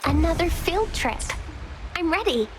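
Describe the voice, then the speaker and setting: A plucky, excitable young game heroine. The voice is extremely high and swings in a lively way, over loud music. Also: female voice, measured pace, heavy background noise, heavily acted. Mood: eager, cocky. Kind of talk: game voice acting.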